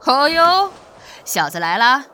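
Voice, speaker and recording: A woman's voice: high voice, sing-song, slow pace, pushed and bright, slight background noise, heavily acted. A woman playing a playful, grumbling older master calligrapher in a Chinese period audio drama. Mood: teasing, grumbling. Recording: music behind the voice.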